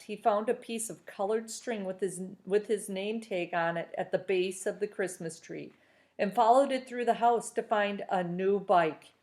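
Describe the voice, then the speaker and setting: A woman teacher reading a chapter book aloud to her students. Her pitch sits in the middle, and she talks in an upbeat, encouraging way with steady pacing through the narration. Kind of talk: reading a novel aloud to a class.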